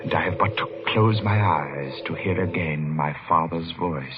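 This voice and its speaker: Man as brooding clockmaker, very deep voice, middle-aged, 1940s radio drama, dull old recording. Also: moderate pace, loud music behind the voice, natural voice. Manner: brooding, hesitant in conversation. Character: haunted, vengeful middle-aged London-born clockmaker, narrating his story. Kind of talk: 1940s radio mystery narration and acting.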